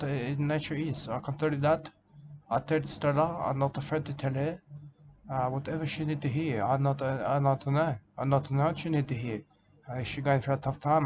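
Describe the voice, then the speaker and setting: A man rambling through a halting comic monologue in broken grammar, filling it with "mate" and "I can tell you". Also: mid-range voice, highs cut off, noisy background. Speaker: comedic character monologue. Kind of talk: rambling comic monologue as a nature-documentary style character.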